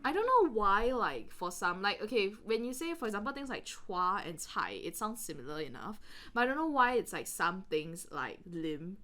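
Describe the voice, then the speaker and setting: Lively, giggly Singaporean woman chatting. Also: medium pitch, normal speed, hardly any pauses. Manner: giggly, rambling, exclaims often. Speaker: podcast co-host reading and commenting. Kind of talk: casual chatty commentary with a friend.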